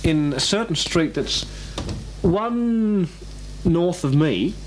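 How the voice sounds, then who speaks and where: A man's voice: on the high side, expressive, slow, slight background noise, low-quality recording. A man chatting casually as an amateur radio operator, rambling and hesitant, often repeating himself.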